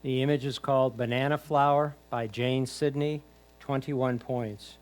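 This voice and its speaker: A man acts as score announcer for a photography competition. He reads out titles, names and points in a middle-pitched voice. The style is a formal announcement. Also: fairly even intonation, a little slow.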